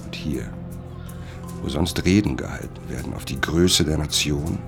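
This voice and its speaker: A man's voice: rumbling low, unhurried, creaky, exaggerated voice. An actor playing an obsessed, self-deluding male writer in a literary radio drama, with music behind him. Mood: grave, brooding. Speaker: lead actor and first-person narrator.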